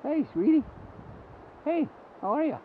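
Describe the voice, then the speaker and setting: A man's voice: very high-pitched, unhurried. A man fishing on a river, chatting casually and whooping over catches, recorded on a body-worn action camera outdoors. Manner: excited whoops over fish. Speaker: angler filming on a river. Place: outdoors on a river, wind and water. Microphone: chest or head-mounted action camera. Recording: tinny action-camera audio with loud wind.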